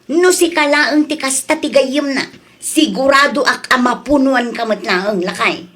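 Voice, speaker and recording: High-voiced, cautious Ilocano neighbor woman played by actress. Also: measured pace, a bit bright. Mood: cautioning. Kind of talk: Ilocano comedy drama acting.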